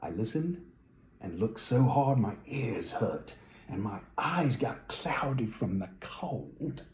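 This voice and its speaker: A man's voice: mid-range voice, animated, takes it slowly, a little mellow, reverberant. A man reading a children's story aloud, with whimsical, musing asides to the listener. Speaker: storybook reader.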